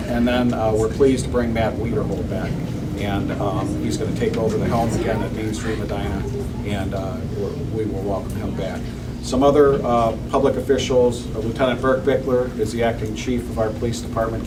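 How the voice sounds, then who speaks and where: A man speaking as mayor at a ribbon cutting, halting with many fillers and restarts, in a shop full of people. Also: medium pitch. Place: indoor shop with crowd. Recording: distant mic, loud room noise.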